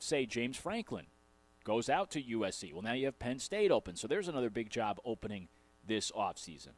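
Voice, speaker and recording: An American man from Connecticut hosting a sports radio show, talking in a rambling, informal monologue. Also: mid-range voice. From Connecticut, USA. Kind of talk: conversational sports talk monologue. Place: radio studio.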